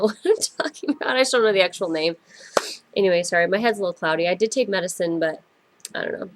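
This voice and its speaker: A woman's voice: fairly low pitch, vocal fry. A woman in her thirties from the United States, hosting her own podcast in a casual, rambling way with lots of ums, her nose stuffed up from a cold. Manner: rambling, many ums and self-corrections. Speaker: podcast host. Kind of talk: casual solo podcast chat.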